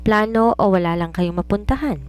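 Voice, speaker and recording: A woman's voice: medium pitch, a little fast, darkish tone, clean tone, lightly acted. Woman voicing a wry, reproachful widowed mother. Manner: pointed, mixes in English phrases.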